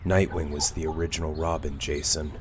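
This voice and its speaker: A man playing a grim, stern vigilante mentor in a comic dub, brooding and terse, with measured delivery. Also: rumbling low, flat delivery, background noise. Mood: stern, reserved. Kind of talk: comic dub narration and dialogue. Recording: music behind the voice.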